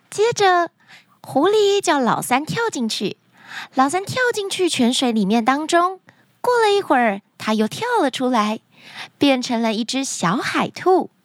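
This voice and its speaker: A woman tells stories for children with dramatic expression. Her voice is high and swings widely in pitch. The recording is dry and close. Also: no echo. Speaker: children's story podcast host. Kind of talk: animated scripted storytelling for children.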